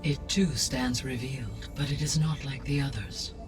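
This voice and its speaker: A man plays a grave, courteous artificial intelligence in a video game cutscene. The speech is formal and precise, sounding a little away from the mic, with music clearly underneath. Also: mid-range voice, moderate pace, distant mic, close to an everyday voice. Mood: solemn, informative.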